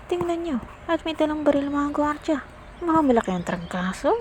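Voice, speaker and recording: Woman as high-voiced village gossip. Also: sing-song, brisk, noisy background. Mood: gossipy. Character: chatty, judgmental gossiping townswoman. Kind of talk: radio play acting in Filipino.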